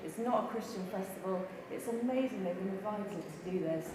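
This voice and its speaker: A woman's voice: mid-range voice, brisk, a crowd in the background. A woman hosting a church service, giving thanks and announcements to a congregation in a large hall. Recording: very echoey hall, clear background noise.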